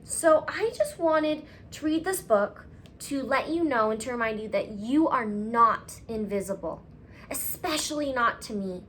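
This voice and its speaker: A woman teacher with a fairly high voice reads and talks to young children. Her delivery is very animated and affectionate, with gentle emphasis on key words. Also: a little slow, a little hiss or hum. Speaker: school teacher. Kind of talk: expressive storybook read-aloud for children.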